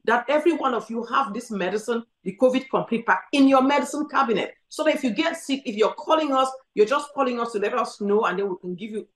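A woman's voice: a little high, expressive, quick pace, few pauses, echoey room, poor audio. A woman from Cameroon, a doctor answering callers emphatically and repeating her urgings. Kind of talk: call-in advice.

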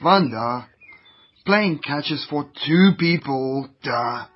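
A man's voice: mid-range voice, expressive, takes it slowly, dull audio. A man voicing a lazy, whiny young boy who would rather play than study.

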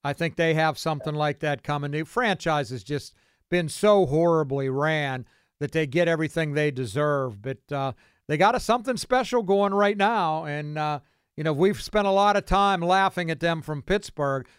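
Man, American accent, sports talk radio host, high clear voice, casual delivery. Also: normal speed, no room sound, clean studio sound.

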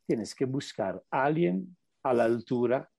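A man with an Italian accent, a native Italian speaker, giving a radio interview as a meditation teacher and often pausing to search for the right word. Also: medium pitch, expressive, gravelly, darkish tone, no echo, clean studio sound. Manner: searching for words, slowing to stress points. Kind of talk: reflective interview answers.

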